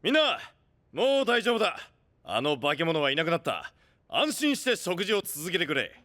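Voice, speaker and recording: A man playing a rough-talking but big-hearted young guardian and gang boss in an anime voice drama, blunt and reassuring. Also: fairly high pitch, big pitch swings, normal speed, bright, forceful tone, no echo. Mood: relaxed, reassuring. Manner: blunt, tough street talk, reassuring.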